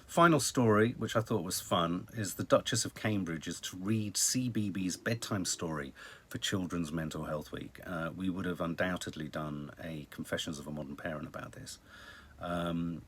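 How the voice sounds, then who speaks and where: A man's voice: sits low, moderate pace, a little hiss or hum. A British man hosting a casual live stream, chatting about the news in a rambling, wry way with dramatic emphasis. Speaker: online live-stream host. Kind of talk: casual news chat to viewers.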